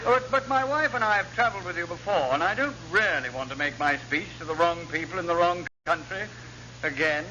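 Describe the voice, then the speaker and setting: An actor playing a grumpy, pompous British government VIP in a classic radio sitcom, sardonic and put-upon. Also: male voice, on the high side, expressive, fairly quick, natural voice. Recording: dull, noisy old radio recording.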